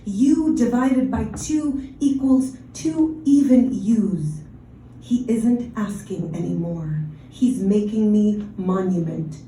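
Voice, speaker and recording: A woman poet, from Ukraine and raised in the Washington DC area, with Russian as her first language, giving a measured, deliberate poetry reading with brief casual asides. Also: medium pitch, mellow, a little hiss or hum. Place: reading venue, roomy space. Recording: roomy live recording from a distance.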